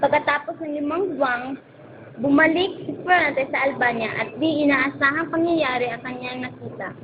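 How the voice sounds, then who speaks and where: A woman's voice: high-pitched, normal speed. A woman reading scripted narration for an amateur radio drama. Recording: dull lo-fi recording with clear noise.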